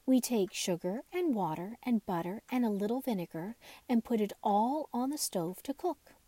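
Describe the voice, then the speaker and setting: A woman's voice: medium pitch, lively intonation, moderate pace, dark tone, no echo, natural voice. A woman voicing an eager, playful male rag doll who explains things patiently, in a storybook reading. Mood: patiently explaining.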